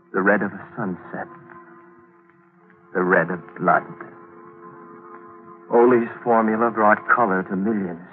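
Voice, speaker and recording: An actor playing an earnest young male hero in an old radio mystery play. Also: low-pitched. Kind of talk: 1940s radio acting. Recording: old tinny radio broadcast.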